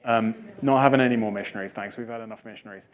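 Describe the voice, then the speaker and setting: A man answering audience questions after a talk, telling lively stories and acting out the people he quotes in a jokey way. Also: fairly low pitch, racing. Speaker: speaker at a talk. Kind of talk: animated Q&A storytelling. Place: lecture hall with audience.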